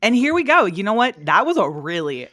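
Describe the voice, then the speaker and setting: A woman's voice: medium pitch, brisk, no room sound, clean studio sound. Chatty young woman podcast host. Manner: chatty, swearing, expressive. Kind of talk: casual chat.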